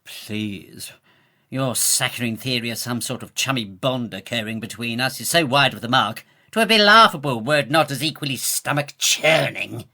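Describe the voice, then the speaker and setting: A man's voice: mid-range voice, expressive, moderate pace, pushed and bright, full character voice. A man playing a pompous, irritable British lord in a comic period audio drama, full of florid, scornful disdain. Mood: exasperated. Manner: pompous, florid archaic insults. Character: haughty, irritable lord who scorns peasants.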